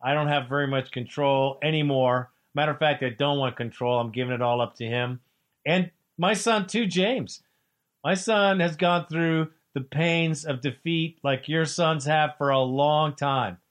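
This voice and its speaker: A man hosting a sports talk radio show, excitable and preachy, trash-talking like a devoted fan. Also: fairly high pitch, unhurried.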